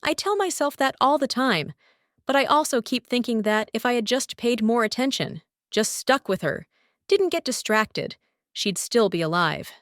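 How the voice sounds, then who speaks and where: A woman voicing a grieving, soft-hearted female warplane haunted by war, speaking in a somber, confessional way in an animated drama. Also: fairly high pitch, normal speed, dry recording, clean studio sound. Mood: grieving, guilty.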